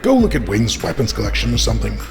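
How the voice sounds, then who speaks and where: A man's voice: fairly low pitch, huge pitch swings, fast talker, music in the background. Man doing a curt police commissioner. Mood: dismissive. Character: stern male police commissioner.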